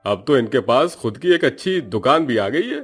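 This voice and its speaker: A man's voice: mid-range voice, big pitch swings, moderate pace, slightly rough, soft background music, pitched above the performer's own voice. A man voicing a gossiping, envious villager who talks in an exclaiming way. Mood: gossipy.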